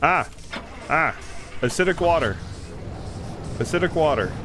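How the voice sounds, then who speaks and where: A man's voice: a little high, lively intonation, over music. A man streaming a game in casual, rambling commentary, a little under the weather with a slight cough. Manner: chatty, rambling, quick reactions.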